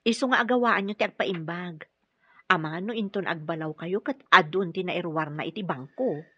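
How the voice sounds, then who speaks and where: A woman's voice: lowish voice, moderate pace, a bit bright, breathy edge, no echo. An actress playing an earnest, respectful, patient female caregiver in a radio drama. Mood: respectful, urging. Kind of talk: Ilocano radio drama acting. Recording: dull, lo-fi radio drama audio.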